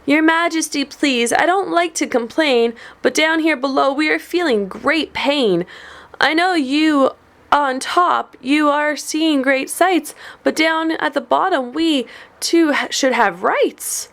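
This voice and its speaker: A woman's voice: high voice, slow, vocal fry, a bit bright, pitched above the performer's own voice, full character voice. Woman doing a groaning humble little turtle. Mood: pleading. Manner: pleading, complaining.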